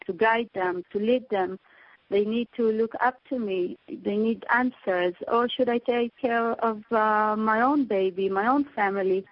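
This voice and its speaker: A woman, a teacher and mother, giving an earnest prepared speech to donors and pausing on fillers. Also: mid-range voice, highs cut off.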